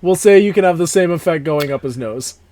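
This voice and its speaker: Higher-pitched man running a tabletop game. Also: lively intonation, brisk, warm tone. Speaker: game master. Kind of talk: improvised tabletop game narration.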